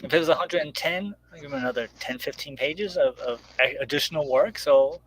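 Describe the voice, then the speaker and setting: A man's voice: on the high side, brisk, a bit bright, rough recording. Man, mid-range voice, casual conversational delivery, informal, rambling, guest creator. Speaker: guest, comic book creator promoting crowdfunding. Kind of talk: casual chat.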